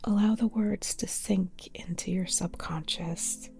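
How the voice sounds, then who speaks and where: A woman leads a guided yoga nidra meditation very slowly in a soft, breathy near-whisper. Her voice is lowish and mellow, with calm, spaced phrases. Music plays behind her. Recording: modest older mic, music behind.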